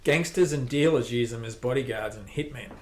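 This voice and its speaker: A man's voice: medium pitch, brisk, darkish tone, close to an everyday voice. A man playing a blunt, terse male club partner in an amateur radio play. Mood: gruff. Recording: roomy echo with clicks and noise.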